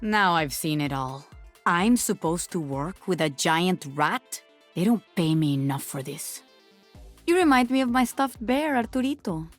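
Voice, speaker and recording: A woman's voice: on the low side, expressive, a little slow, soft background music. A woman playing a sly, mocking hacker with menace in a video game. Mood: sardonic. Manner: mocking, sardonic.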